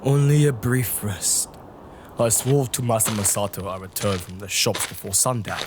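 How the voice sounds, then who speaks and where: A man's voice: lowish voice, fairly even intonation, normal speed, background noise, close to an everyday voice. A man playing an earnest, dutiful young martial arts student in an audio drama, speaking formally and solemnly. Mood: evasive.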